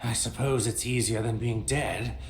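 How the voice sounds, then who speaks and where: A man's voice: medium pitch, steady tone, moderate pace, natural voice. An actor playing an unhinged adventurer who has lost his memory, rambling erratically in a theatrical video game style. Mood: rambling, manic. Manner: erratic, rambling, talking to himself. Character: unhinged, amnesiac male adventurer losing his mind to madness. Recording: echoey, faint music behind.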